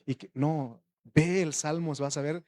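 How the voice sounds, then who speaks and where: Conversational preacher, rhetorical questions. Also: male voice, on the high side, fairly even intonation, a little slow, darkish tone. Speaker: pastor. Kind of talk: informal sermon.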